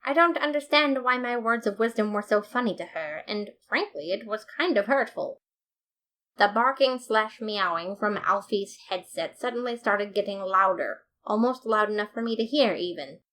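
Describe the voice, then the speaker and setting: A woman's voice: medium pitch, normal speed, sharp tone. Woman reading a story aloud. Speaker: fan fiction reader. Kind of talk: storybook narration.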